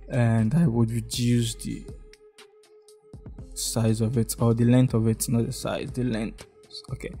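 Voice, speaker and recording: A young man from Ghana with a Ghanaian English accent, talking step by step through a screen-recorded design tutorial and often checking in with "okay?". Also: mid-range voice. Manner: relaxed, step-by-step, frequent "okay?" check-ins. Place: indoor room, face in webcam corner.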